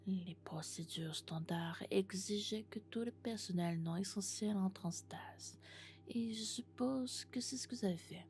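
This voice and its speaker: A woman playing a friendly, doting female robot in an ASMR roleplay, speaking gently and often in whispers. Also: mid-range voice, unhurried, a little hiss or hum, lightly acted. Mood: gentle, cheerful. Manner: gentle, reassuring, alternating soft speech and whispers. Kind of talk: French ASMR roleplay, soft-spoken and whispered.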